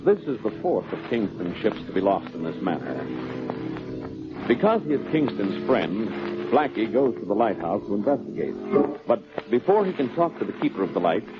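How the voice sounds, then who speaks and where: A man's voice: fairly low pitch, very animated, moderate pace. A man narrating as a 1940s radio drama announcer in a formal, dramatic manner. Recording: muffled old radio recording. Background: music.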